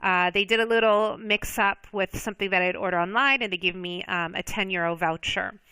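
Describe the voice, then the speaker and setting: A woman's voice: medium pitch, fairly even intonation, fairly quick, pushed and bright, clean tone, no echo, low-quality recording. A woman talking casually to viewers in a reflective monologue, with lots of ums and restarts, who is a native English speaker. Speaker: vlogger. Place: Spain.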